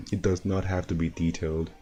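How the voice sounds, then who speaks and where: A man with a deep, dark, hoarse voice talks slowly into his desk mic, worn out from no sleep. He rambles in a laid-back way with little rise and fall, chuckling between thoughts as he reacts to clips on stream. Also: level tone, a little hiss or hum. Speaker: animator streamer. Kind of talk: casual livestream chat and reactions.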